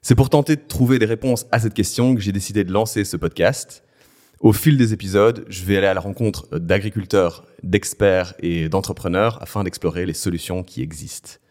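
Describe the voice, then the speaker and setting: Low-voiced male podcast host. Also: moderate pace, darkish tone. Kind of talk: interview hosting.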